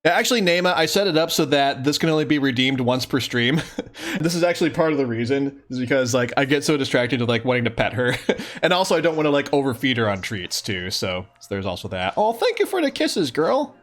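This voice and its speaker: A man's voice: medium pitch, sing-song, a little fast, a bit bright. A man hosting a livestream, chatting casually and affectionately with his viewers. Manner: affectionate, cooing to a pet. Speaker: livestream host.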